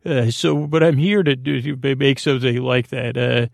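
A man hosting a bedtime podcast, rambling along in a halting, gently silly way meant to lull listeners to sleep. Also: medium pitch, vocal fry, no echo, clean studio sound. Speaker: podcast host.

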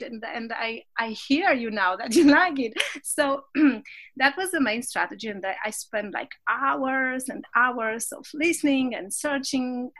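French-accented woman, a little high-pitched. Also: a bit bright. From Switzerland. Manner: halting, with restarts and frequent laughs. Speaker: podcast guest, physics teacher and business coach. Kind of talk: casual interview chat.

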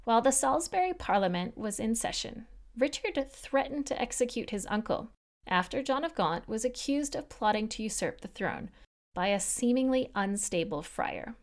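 A woman's voice: a little high, big pitch swings, moderate pace. Woman narrating a history podcast. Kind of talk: scripted narration with casual asides.

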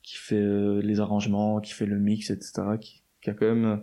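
A young man talks in a low, mellow voice. He tells his story in a loose, slangy way, full of fillers and restarts, as a casual interview guest. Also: completely flat delivery, fairly quick. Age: 20s. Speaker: guest musician.

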